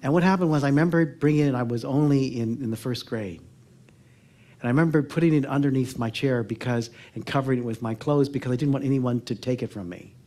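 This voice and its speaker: A man in his sixties with an American accent speaks as a public speaker on stage. His pitch is middling. He tells personal stories in an earnest, reflective way, leaving pauses and softening the ends of his phrases. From Oakland, California, United States. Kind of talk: personal storytelling talk on stage. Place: theatre stage before a seated audience.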